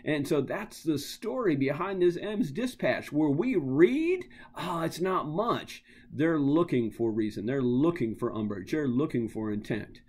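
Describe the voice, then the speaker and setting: Emphatic, higher-pitched male history teacher. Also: animated, a little slow, hardly any pauses, darkish tone, slightly rough. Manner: emphatic, dramatic storyteller, repeats phrases for effect. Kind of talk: informal lecture with acted-out quotes.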